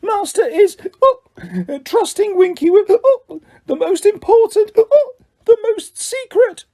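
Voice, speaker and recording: Brief: squeaky, stammering drunk elf girl, tearful, way up high and slow. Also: very animated, warm tone, heavily acted. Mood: tearful, slurring. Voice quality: squeaky, stammering with many uh's. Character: drunk, weepy female house elf, tiny. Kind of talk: audiobook character voice.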